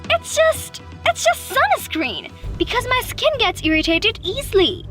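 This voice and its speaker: A woman voicing a shy, hesitant high school girl who tries to keep the peace in an animated story. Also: unhurried, soft background music, heavily acted. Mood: flustered, concerned. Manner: hesitant, faltering.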